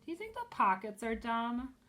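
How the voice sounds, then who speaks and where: A woman's voice: on the high side, sing-song, normal speed, fairly bright tone, pitched above the performer's own voice. A woman reading a storybook as a bossy eleven-year-old girl putting on grown-up airs. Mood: undecided. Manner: clipped, commanding, grown-up.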